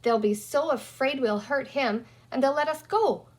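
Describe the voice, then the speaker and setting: A woman's voice: high-pitched, moderate pace, pitched above the performer's own voice. A woman voicing a bossy, resourceful young girl in a children's story, talking emphatically as she reasons aloud. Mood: scheming. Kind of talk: children's storybook character reading.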